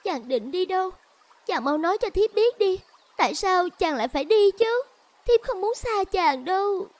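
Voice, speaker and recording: A woman's voice: very high-pitched, animated, normal speed, no echo. A woman voicing a devoted, stubborn young village woman in love in a cartoon, earnest and pleading. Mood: worried, sorrowful. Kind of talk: cartoon voice acting.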